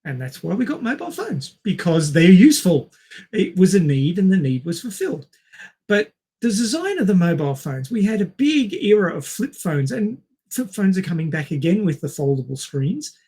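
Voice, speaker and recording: Higher-voiced man explaining in halting bursts. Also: big pitch swings, dark tone, breathy edge. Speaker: podcast co-host. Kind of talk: casual film discussion.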